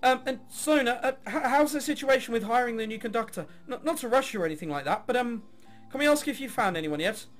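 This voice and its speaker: A man's voice: very high-pitched, expressive, quick pace, fairly bright tone, faint music behind, voice pushed up. A man voicing a nervous, deferential orchestra musician in a visual novel, speaking hesitantly and politely. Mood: timid, pleading.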